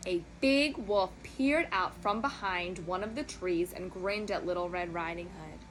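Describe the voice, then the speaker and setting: A woman storyteller reading a children's tale in an expressive, dramatic, emphatic style. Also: lowish voice, unhurried, faint music behind, rough recording.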